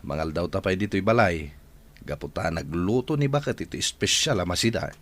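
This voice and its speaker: A man's voice: sits low, animated, fairly quick. A man playing a kindly, helpful village friend in an Ilocano radio drama, with a friendly, modest manner. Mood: friendly, grateful.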